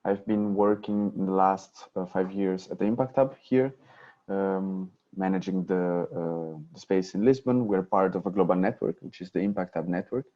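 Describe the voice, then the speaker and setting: A man introducing himself as a panelist on a video call, relaxed in manner. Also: low voice, moderate pace. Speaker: panelist, hub manager. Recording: dull distant call audio.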